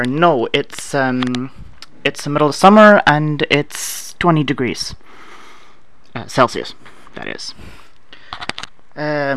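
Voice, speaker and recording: A man's voice: medium pitch, clear, resonant tone. A Canadian man in his thirties casually reading survey prompts aloud and answering them with dry, offhand humor. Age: 30s. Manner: relaxed, offhand, dry humor with many filler uhs. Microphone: no proper microphone, home setup.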